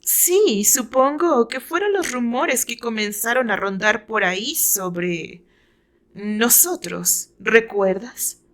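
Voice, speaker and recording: A woman's voice: on the high side, animated, takes it slowly, fairly bright tone. A woman voicing a sly, honey-sweet woman who feigns innocence in a dramatized story, coy and careful. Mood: coy lying.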